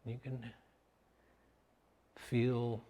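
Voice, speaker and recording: A man leading a guided meditation in short, measured phrases with pauses between them. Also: mid-range voice, completely flat delivery, warm tone. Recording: long hall-like reverb.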